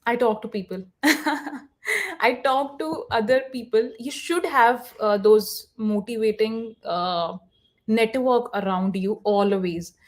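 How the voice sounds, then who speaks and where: Woman, guest speaker, fairly high voice, earnest, halting, video call. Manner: earnest, halting with many fillers and restarts. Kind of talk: online session answer.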